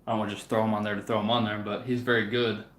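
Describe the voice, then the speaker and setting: A man's voice: on the low side, flat delivery, rapid-fire, fairly bright tone. Man, livestream host, casual chat, hesitant and rambling, echoey room recording. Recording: roomy, echoing room sound.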